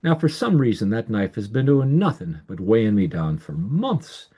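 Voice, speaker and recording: A storyteller voicing a kindly, generous old male peddler, coaxing and soft-spoken. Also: fairly low pitch, big pitch swings, normal speed, breathy edge.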